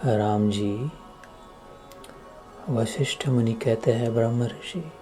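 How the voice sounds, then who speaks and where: A male meditation teacher delivers a devotional discourse in a warm, relaxed voice of medium pitch. He moves extremely slowly and solemnly, setting down short, deliberate phrases with long pauses, while music plays behind him. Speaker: spiritual teacher leading guided meditation. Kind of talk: slow devotional meditation discourse with chanted verses.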